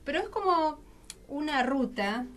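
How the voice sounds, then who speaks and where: A woman's voice: high-pitched, expressive, measured pace. An Argentine woman speaking as an interview guest, explaining things reflectively and conversationally. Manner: reflective, explanatory, self-posed questions. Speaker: guest author of a book on Mapuche art. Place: radio studio.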